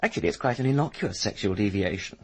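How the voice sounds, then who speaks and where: An actor playing a sardonic, impatient upper-class young Englishman in a British radio drama, with a gravelly, husky voice. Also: fairly low pitch, expressive, fast talker. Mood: matter-of-fact. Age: young adult. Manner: sardonic, articulate, dismissive.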